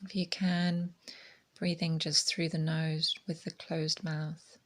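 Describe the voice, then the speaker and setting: A woman teaching meditation in a gentle, calming, soft-spoken way, her phrases often trailing into breathy near-whispers. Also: low voice, takes it slowly.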